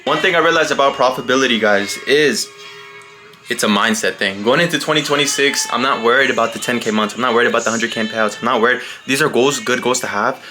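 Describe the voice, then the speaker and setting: A man's voice: medium pitch, fast talker, music in the background. A young man casually talking through his trading progress in a vlog, laid-back and repetitive, often saying "bro" and "you know". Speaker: day trading vlogger.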